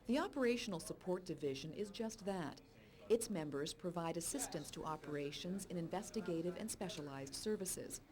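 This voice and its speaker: A woman reading a scripted voice-over narration for a police recruiting video in a clear, measured way. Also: lowish voice, lively intonation, a little mellow. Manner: clear, measured informational delivery.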